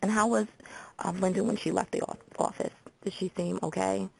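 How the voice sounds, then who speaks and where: A woman playing a procedural, businesslike hotline call taker with an American accent and a husky, creaky voice, in a simulated intake call. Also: mid-range voice, steady tone, fairly quick, slight background noise, low-quality recording. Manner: procedural, reads details back methodically. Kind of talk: simulated phone intake interview for training.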